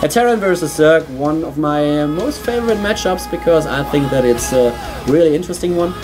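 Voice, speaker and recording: A man's voice: a little fast, music in the background. A man from Germany with a German accent, casting a game on his own in a small studio, chatty and upbeat. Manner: chatty, upbeat, pitch jumps when excited. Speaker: esports caster. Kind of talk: solo game commentary.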